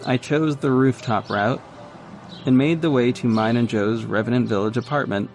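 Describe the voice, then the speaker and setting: A man's voice: mid-range voice, steady tone, normal speed, darkish tone, lightly acted. A man playing a wry, bewildered masked vigilante detective, narrating his own story in an audio drama with dry self-questioning. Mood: wry recounting. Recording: faint music under the voice.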